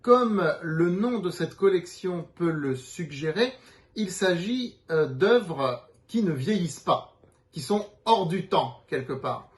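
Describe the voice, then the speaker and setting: A man's voice: high-pitched, animated, takes it slowly. A man giving an unscripted promotional presentation as a publisher's representative, explaining things in a hesitant way.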